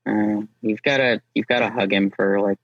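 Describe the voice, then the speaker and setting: A man's voice: lowish voice, steady tone, normal speed, no echo, muffled recording. A man speaking as a podcast guest in casual chat, hesitant, with wry, understated humor. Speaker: podcast guest, drift driver.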